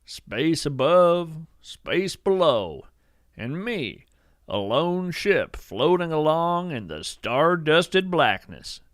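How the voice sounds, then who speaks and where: A man playing an earnest, awestruck naturalist, narrating in a poetic, measured storytelling style. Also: on the high side, very animated, a bit bright, dry recording, clean studio sound, exaggerated voice. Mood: hushed wonder. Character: awestruck bearded male naturalist who studies strange creatures. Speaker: host and narrator of a fiction podcast. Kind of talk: scripted first-person narration, storytelling.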